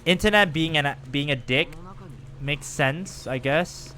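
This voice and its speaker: A man chatting casually with viewers and reacting out loud while he plays a game on a live stream. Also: on the high side, takes it slowly, slight background noise. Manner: chatty, animated, lively reactions while playing.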